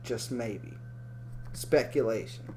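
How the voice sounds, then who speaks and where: Profane, excitable male commentator. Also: mid-range voice, slow, slight background noise. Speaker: video commentator. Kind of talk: casual reaction commentary.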